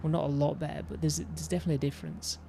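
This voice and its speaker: A man's voice: on the high side, fairly even intonation, rapid-fire, creaky, dark tone, slight background noise. A man streaming a game, losing his voice, rambling and interrupting himself as he shows off his own builds. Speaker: gaming streamer. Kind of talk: casual commentary while showing his game builds.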